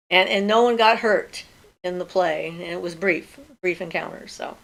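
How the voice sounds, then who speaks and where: Gravelly-voiced older woman. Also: fairly low pitch. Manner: relaxed and chatty, thinking aloud with fillers. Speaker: host of a magic Q&A livestream. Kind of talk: casual livestream chat, reading viewer questions. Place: home.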